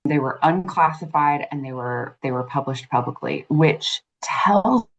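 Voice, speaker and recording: A woman in her thirties answering as an interview guest, earnest, fluent and measured. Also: low voice, vocal fry. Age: 30s. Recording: muffled lo-fi audio.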